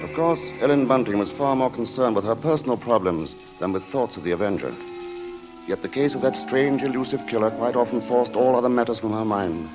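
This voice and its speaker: Actor as grave old-radio suspense narrator guy, literary and ominous, music clearly behind him. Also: medium pitch, brisk, lightly acted, rough recording. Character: grave, suspenseful male storyteller of a murder tale. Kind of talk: 1940s radio drama narration. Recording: old radio recording under loud music.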